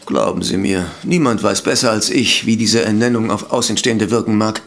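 A man's voice: on the low side, fairly even intonation, brisk, lightly acted. An actor playing a polite, defensive male sanatorium director in a detective radio play, speaking formally and hesitantly. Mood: defensive, earnest. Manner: formal, hesitant, self-justifying. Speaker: voice actor in audio drama.

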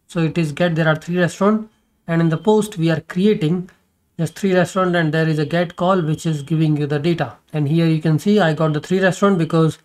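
A man explaining code step by step in a screen-recorded programming tutorial, with many fillers and restarts as he goes. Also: on the high side.

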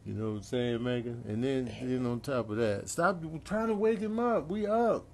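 A man's voice: medium pitch, animated, normal speed, natural voice. A man voicing a stubborn, sleepless cat who pleads insistently in slangy, drawling talk for a comedy animal voice-over. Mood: insistent, pleading.

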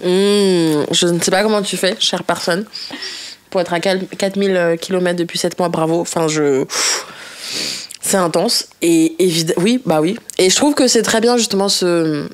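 A woman's voice: fairly low pitch, a little slow. A young woman chatting casually and frankly as a podcast guest, using slang and bits of English. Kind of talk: casual chat. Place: small indoor podcast studio. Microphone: podcast microphone on a desk stand.

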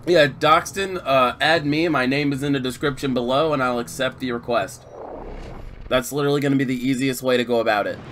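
A laid-back man streaming a video game, chatting and rambling to his viewers through a desk mic. His voice is getting weak and sits at an ordinary pitch. Faint noise sits in the background. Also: a little fast. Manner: relaxed, rambling, greeting viewers. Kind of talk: casual live-stream chat, reading viewer chat.

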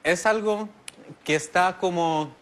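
Guest, 30s male, relaxed and witty, lapel mic in a studio. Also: high voice, slow. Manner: easygoing, jokes back, describes objects step by step. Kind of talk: casual TV interview. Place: large TV studio set. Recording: faint studio noise.

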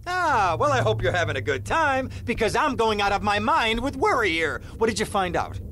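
An actor playing a nervous, whiny crooked lawyer in a video game, stammering and repeating himself. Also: male voice, high voice, big pitch swings, moderate pace, pushed and bright, slight background noise, full character voice. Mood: nervous, sarcastic. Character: jittery, whining, panicky crooked lawyer, adult man. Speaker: lawyer character. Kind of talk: video game cutscene acting.

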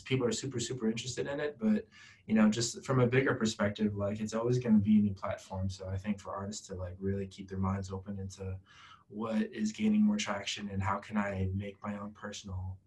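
A young man speaks in a low voice on a video call from a small bright room. He talks in an animated, rambling way, full of fillers. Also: a little fast, poor audio. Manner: animated, loose, lots of fillers. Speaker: guest from a music data company. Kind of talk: casual video-call interview.

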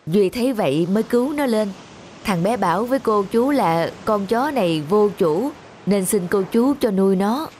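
A woman playing a gentle but firm mother in a cartoon, explaining and admonishing in a measured way. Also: mid-range voice, expressive, a little hiss or hum, lightly acted. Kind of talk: Vietnamese cartoon dubbing.

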